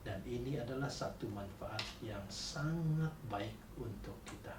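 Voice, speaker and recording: A man, giving a devotional talk as a church chaplaincy director, speaking in a measured, deliberate way and pausing on fillers. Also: mid-range voice, animated. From Sabah, Malaysia. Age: 40s. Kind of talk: devotional talk for a spiritual retreat. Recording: roomy, distant mic with background noise.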